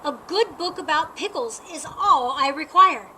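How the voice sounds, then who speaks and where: A woman voicing a grumpy, picky male bear from a storybook, with a fussy, formal and often loud manner. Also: sits high, animated, a little slow, slight background noise, exaggerated voice. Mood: demanding.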